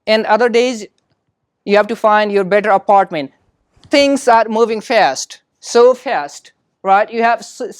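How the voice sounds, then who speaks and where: High-voiced man with non-native English, dry sound. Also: smooth, no room sound. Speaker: academic guest speaker. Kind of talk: informal lecture drawing on own experience.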